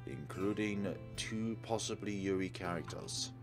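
A man's voice: low-pitched, measured pace. A man hosting a let's play, reading game text aloud and commenting on it. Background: game music.

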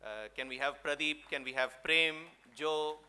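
Halting, bright-voiced man at a podium. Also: on the high side, smooth. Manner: halting, many fillers and restarts; louder and more raised toward the end. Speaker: event organizer giving a thank-you speech. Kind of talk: speech at an awards event. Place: large stage in an indoor auditorium. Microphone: stand mic at a podium.

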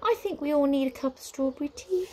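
A woman's voice: sits high, big pitch swings, unhurried, a little mellow, slight background noise, voice pushed up. Sly, drawling, swooping witch. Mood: airy. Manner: drawling, mock-sweet, theatrical. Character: sly, airy, mischievous villainous witch, grandly amused.

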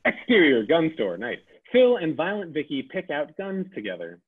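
Male narrator for a table read, lively husky delivery, tinny phone-quality sound. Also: fairly high pitch, normal speed. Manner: amused, laughs between directions. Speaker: table read narrator reading stage directions. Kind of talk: reading a comedy script aloud.

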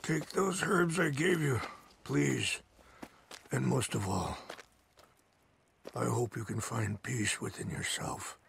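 Game role: grave elder chief, gravelly voice, very slow delivery, faint hiss behind. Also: male voice, mid-range voice, fairly bright tone, full character voice. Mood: solemn. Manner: slow, solemn and measured. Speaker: elder of his people.

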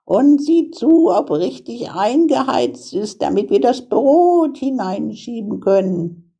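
A woman's voice: on the high side, big pitch swings, slow, creaky, mellow, husky, voice pushed up, heavily acted. A woman voicing a spiteful old witch in a fairy tale, mocking and barking orders. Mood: sly, commanding. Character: wicked old witch, spiteful, bossy, gloating child-eater. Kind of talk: fairy-tale storybook character voice.

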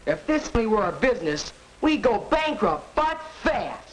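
An actor playing a brash, grumbling American teenage son in a 1940s educational film, blunt and clipped. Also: male voice, sits high, sing-song, normal speed. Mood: indignant. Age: young adult. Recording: noisy, crackly 1940s film soundtrack.

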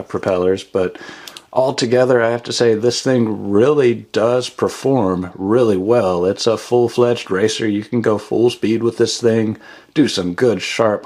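A man with a fairly low voice talks through a hands-on product review. He sounds like the host of a hobby channel, casual and matter-of-fact in his delivery. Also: a little mellow.

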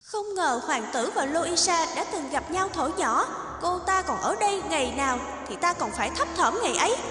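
A woman's voice: very high-pitched, animated, normal speed. A woman voicing a vain, scheming young maidservant who poses as a princess in a cartoon fairy tale, haughty and falsely sweet. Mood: spiteful. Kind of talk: animated fairy-tale character acting. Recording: music under the voice.

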